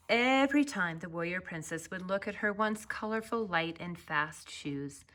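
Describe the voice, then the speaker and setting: A woman narrating a children's story as its author, reading expressively and deliberately. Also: lowish voice. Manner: expressive, deliberate, drawn-out phrase endings.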